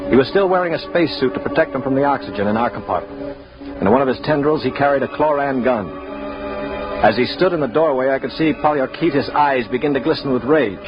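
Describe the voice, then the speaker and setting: A man's voice: mid-range voice, fast talker, over music, lightly acted. A man playing a level-headed, world-weary prisoner who also narrates a 1950s radio drama in an earnest, dry way. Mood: dry, narrating. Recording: muffled 1950s radio recording.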